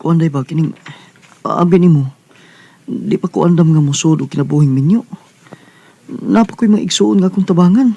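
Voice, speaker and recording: A man's voice: a little high, normal speed, gravelly, long pauses, mellow, dull audio. An actor playing an earnest, hesitant young working man who dreams of boxing, in a Bisaya radio drama. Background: music under the voice.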